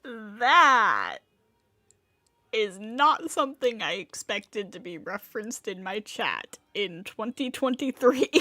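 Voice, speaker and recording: Bright, animated woman livestreamer. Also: mid-range voice, takes it slowly, no echo. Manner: joking, exclamatory, talks to chat. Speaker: game livestreamer. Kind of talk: casual live reaction commentary. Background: game music.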